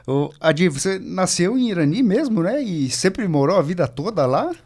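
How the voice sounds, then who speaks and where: A man's voice: sits high, big pitch swings, normal speed, darkish tone. A man hosting a podcast, introducing his guest and asking questions in a friendly, casual way. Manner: friendly, asks questions with tag "né". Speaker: podcast host. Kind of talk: casual interview.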